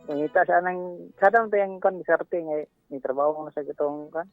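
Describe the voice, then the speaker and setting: A man with a Filipino accent, a native Cebuano speaker, chatting casually and haltingly as a caller, searching for words. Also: fairly high pitch, no echo. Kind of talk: casual chat. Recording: dull lo-fi radio call audio. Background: faint background music.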